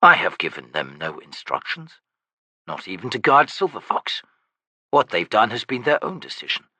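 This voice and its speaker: Man playing a quiet weary commander, steady low delivery, dry close sound. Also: normal speed, husky, no echo, exaggerated voice. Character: calm, weary male military commander.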